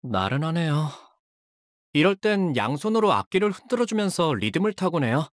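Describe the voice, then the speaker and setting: Actor as a young fighter with sweeping, expressive pitch. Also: moderate pace, no echo, clean studio sound, close to an everyday voice. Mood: polite, upbeat. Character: earnest, anxious young man, a devoted shielding fighter; tormented young male zealot who purifies with fire. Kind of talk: video game character voice lines.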